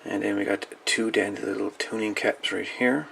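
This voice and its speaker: A man with a very gravelly, fry-heavy voice talks casually as a hobbyist showing things off. His delivery is folksy and halting, wandering as he goes. It is a home recording with faint noise behind him. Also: on the low side, fairly even intonation, a bit bright. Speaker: radio hobbyist presenting salvaged parts. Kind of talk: casual hands-on show-and-tell.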